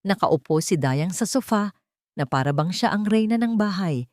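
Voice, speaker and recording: A woman's voice: fairly low pitch, expressive, normal speed, darkish tone, no echo, clean studio sound. A woman narrator reading a scripted dramatic love story.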